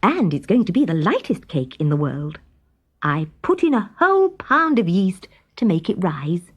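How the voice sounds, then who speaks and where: An eager, flustered cook from a children's tale, played by a woman reader. He is humble and obliging, with a slightly husky tone and pitch that leaps about in a very animated way. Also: moderate pace. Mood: eager, proud. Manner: deferential, eager. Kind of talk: storybook character voice.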